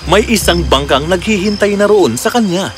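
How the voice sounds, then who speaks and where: A man's voice: high-pitched, lively intonation, normal speed. A man narrating a scripted children's fairy tale as the storyteller. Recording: music clearly behind the voice.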